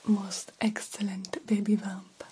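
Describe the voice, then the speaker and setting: A woman voicing a formal, grandiose vampire doctor in a breathy ASMR whisper, slowly and theatrically mentoring a fledgling. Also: medium pitch, flat delivery, warm tone. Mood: coaxing, instructive. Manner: slow, deliberate, formal and theatrical. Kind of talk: ASMR roleplay.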